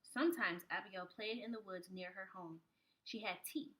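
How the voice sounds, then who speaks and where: A woman reading a picture book aloud to young children like a preschool teacher, with expressive, animated phrasing. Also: medium pitch, moderate pace, low-quality recording.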